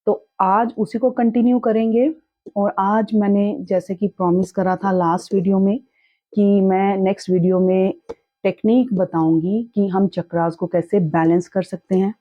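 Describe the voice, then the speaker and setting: A young woman teaching in a calm, reassuring way, with a sore throat that makes her clear it often. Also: medium pitch, a little slow, dry recording, highs cut off. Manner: calm, reassuring, mixes English words into her talk. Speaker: chakra healing teacher. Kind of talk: casual spoken tutorial.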